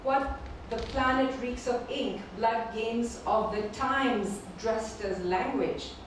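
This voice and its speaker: A woman whose first language is Urdu, reading her poems slowly and repeating each first line at a live poetry event. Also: a little high, noisy background. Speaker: featured poet. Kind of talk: poetry reading with spoken introductions. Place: performing arts venue. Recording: echoey hall from far off.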